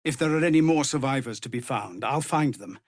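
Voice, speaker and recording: An actor playing a weary, honourable middle-aged male tiefling soldier in a fantasy game, speaking in an earnest, formal, measured way. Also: medium pitch, animated, darkish tone, no echo, clean studio sound, close to an everyday voice. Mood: grave, resolute. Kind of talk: dramatic fantasy game dialogue.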